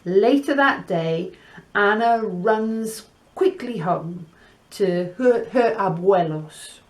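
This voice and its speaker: A woman reading to children and teaching in a deliberate, teacherly way, mixing Spanish words into English. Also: medium pitch, lively intonation. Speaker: storyteller and language teacher host. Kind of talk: children's story reading and lesson chat.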